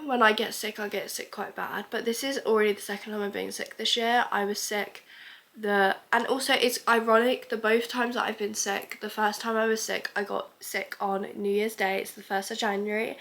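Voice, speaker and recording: A woman's voice: a little high, fairly bright tone. A young woman chatting casually in a reading vlog, stuffy with a cold and rambling as she thinks aloud. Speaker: book vlogger.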